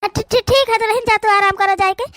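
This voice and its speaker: A woman's voice: extremely high pitch, fairly even intonation, a little fast, fairly bright tone, dry recording, clean studio sound, voice pushed up. A woman with an Awadhi accent voicing a fretful, fussy older village mother-in-law in a cartoon. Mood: concerned.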